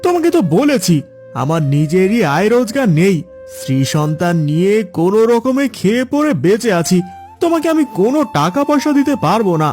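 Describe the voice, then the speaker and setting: An irritable, neglectful grown son from a cartoon moral tale, voiced by a man. His complaining delivery swings widely in pitch as he brushes people off. Music plays underneath. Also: very animated, fairly quick, a little mellow. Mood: irritated. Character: neglectful adult son, irritable, evasive, hard-up. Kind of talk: cartoon moral story dialogue.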